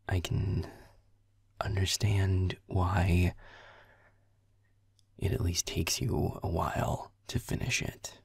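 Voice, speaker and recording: A man playing a grumpy, foul-mouthed young werewolf boyfriend, muttering sullenly in a breathy, gravelly whisper in an intimate roleplay audio. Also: low voice, darkish tone, dry recording, clean studio sound, heavily acted. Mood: grudging, teasing. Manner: sullen muttering, swearing, sarcastic.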